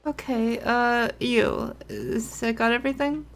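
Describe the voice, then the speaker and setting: A woman doing casual, playful gameplay commentary, chatty and often halting in her asides. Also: fairly high pitch, steady tone. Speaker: gameplay streamer. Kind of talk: casual playthrough commentary with read-aloud game text.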